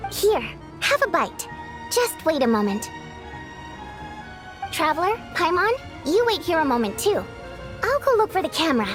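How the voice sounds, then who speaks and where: Bossy playful showgirl from a game, high lively voice, teasing, faint music behind. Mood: breezy. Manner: theatrical, teasing, commanding. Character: spirited young female stage performer, playful, bossy and affectionate. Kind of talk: video game voice acting.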